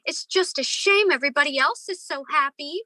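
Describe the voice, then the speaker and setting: A woman's voice: sits high, brisk, pushed and bright, no room sound. A woman playing a doting, chatty, homey mother of grown sons in a radio play. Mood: sympathetic.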